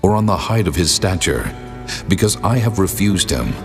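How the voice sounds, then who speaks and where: An actor playing God as a grave, commanding male deity in a dramatized scripture reading, slow and authoritative. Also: low voice, expressive. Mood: solemn, commanding. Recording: long cavernous reverb, music behind.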